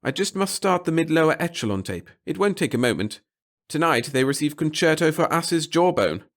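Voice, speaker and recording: Man playing a fussy, high-voiced pompous little clerk. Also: animated, a little fast, lightly acted. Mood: fussy, self-important. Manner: flowery, babbling, obsequious, pompous phrasing. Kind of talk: audiobook character voice in a sci-fi short story.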